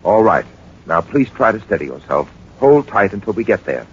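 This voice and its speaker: Composed gentleman sleuth, lowish voice, polite and measured, 1940s radio, muffled and hissy. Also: very animated. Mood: calm, probing. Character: suave, composed gentleman adventurer and detective hero. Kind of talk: 1940s radio detective acting with narration.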